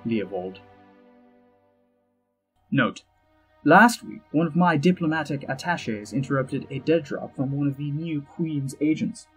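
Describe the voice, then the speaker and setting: An actor playing a suave, self-satisfied elf ambassador and spymaster in an audio drama, arch, droll and flowery, with a husky voice. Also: male voice, fairly high pitch, animated, unhurried, pauses often. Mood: amused, smug. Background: music under the voice.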